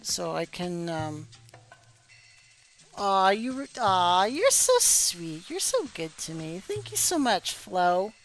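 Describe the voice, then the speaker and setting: Slow, hoarse woman streamer. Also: on the low side, big pitch swings, faint music behind. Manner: rambling, filler-heavy, apologetic. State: hoarse from hours of talking. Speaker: game tutorial streamer. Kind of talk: casual live-stream commentary.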